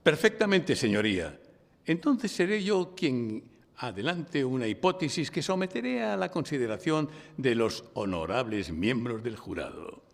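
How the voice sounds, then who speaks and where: Radio drama defence attorney: Spanish from Spain, declamatory, insistent, very varied intonation, live hall reverb. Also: male voice, mid-range voice, sing-song, unhurried, gravelly. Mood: suave, probing. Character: theatrical male defence lawyer narrating his own courtroom case. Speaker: narrator and lead actor in an audio drama. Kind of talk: courtroom radio drama acting with first-person narration. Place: public library, before a live audience.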